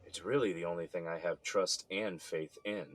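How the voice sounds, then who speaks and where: A man's voice: deep voice, moderate pace, fairly bright tone, no room sound. A man playing a weary, embattled middle-aged son in an audio drama, downcast, frustrated and bitter.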